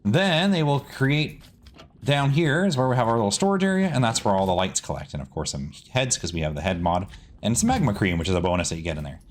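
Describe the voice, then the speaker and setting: A man's voice: on the low side, very animated, fast, a little hiss or hum. A man hosting a gaming video with casual build commentary, often repeating words for emphasis. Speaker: gaming video creator and host.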